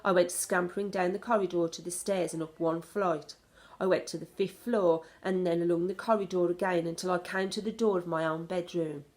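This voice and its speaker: A woman reading a children's story aloud in an expressive narrating style. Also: fairly low pitch, a little fast. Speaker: storybook reader.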